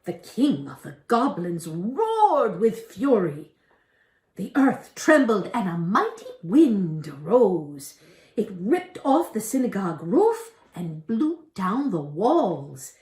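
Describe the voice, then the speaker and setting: Storyteller: older woman, lively intonation, unhurried expressive reading, recorded with room echo. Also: mid-range voice. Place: indoor room at home, by lit candles. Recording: roomy echo.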